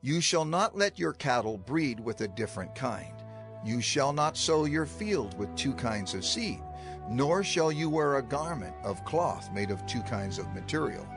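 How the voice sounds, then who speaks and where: A man's voice: medium pitch, sing-song, unhurried. A man gives a solemn, emphatic, declamatory reading in a dramatized scripture narration. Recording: music under the voice. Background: music.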